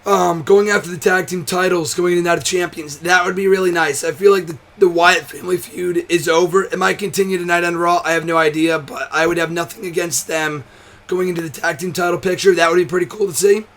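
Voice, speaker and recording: Hoarse, worn-out young man. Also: sits high, fairly even intonation, brisk, fairly bright tone. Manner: rambling, swears, frequent restarts. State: hoarse, voice nearly gone; tired. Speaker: wrestling commentary host. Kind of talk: casual to-camera vlog chat.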